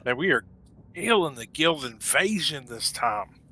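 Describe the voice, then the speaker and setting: Male player, fairly high bright voice, offhand gaming chat, faint noise. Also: lively intonation, measured pace.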